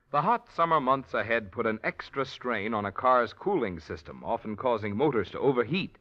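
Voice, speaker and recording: A male radio announcer reading a polished, persuasive sponsor message. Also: medium pitch. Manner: polished, persuasive commercial delivery. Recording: muffled old radio recording.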